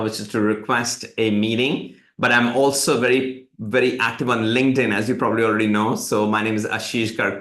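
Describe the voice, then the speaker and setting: Man, middle-aged, from India, Hindi native speaker, animated storyteller, mid pitch, echoey room. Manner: animated storytelling, quotes others in lively voices. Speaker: tech company CEO, guest. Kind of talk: live webinar interview.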